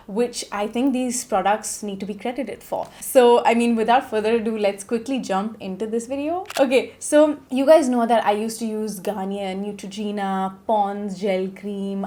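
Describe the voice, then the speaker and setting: A woman's voice: medium pitch, darkish tone. A woman of thirty with a nasal voice from a cold, chatting casually in a beauty vlog and often checking in with "okay?". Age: 30s. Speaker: beauty vlogger.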